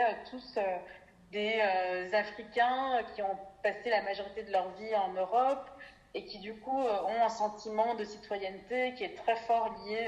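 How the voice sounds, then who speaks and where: A woman's voice: on the high side, roomy sound, lo-fi sound. A woman in her twenties from Belgium, a podcast guest speaking thoughtfully with frequent hesitations. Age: 20s. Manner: thoughtful, many hesitation fillers. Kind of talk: reflective interview conversation.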